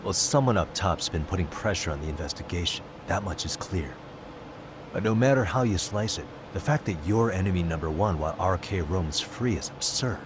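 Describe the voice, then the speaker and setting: Cool-headed game detective: very low, measured, determined, matter-of-fact. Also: male voice, animated, background noise. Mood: firm, serious. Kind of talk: video game English dub acting.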